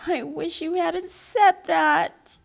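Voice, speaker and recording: Woman as a young schoolgirl, storybook character, high voice, reluctant, self-conscious, plaintive. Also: steady tone, slow pace, gravelly, faint music behind, heavily acted. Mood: groaning.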